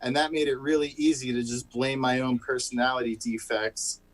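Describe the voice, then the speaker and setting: A man finishing a self-mocking stand-up set over a video call, in a wry, unhurried way. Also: medium pitch, flat delivery. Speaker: stand-up comic.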